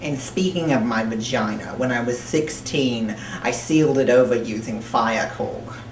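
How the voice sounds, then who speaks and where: A woman playing a crude, brash elderly woman hosting a talk show, rambling in a deadpan, foul-mouthed way with an odd accent. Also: unhurried. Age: 80s. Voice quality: low-pitched, with an odd accent. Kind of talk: comedic monologue. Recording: roomy with faint hiss.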